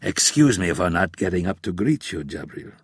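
A man voicing a raspy, frail old professor. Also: low-pitched, very animated, no room sound, studio quality, full character voice. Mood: weary. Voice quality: raspy, slightly unsteady. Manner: slow, weary, impassioned. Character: frail elderly male professor, embittered and grave. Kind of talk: audiobook character voice.

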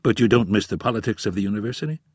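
A man voicing an easygoing, gently skeptical young man in an audiobook, speaking in a quick, friendly way close to his own voice. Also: on the low side, animated, slightly rough, no echo. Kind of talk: audiobook character voice.

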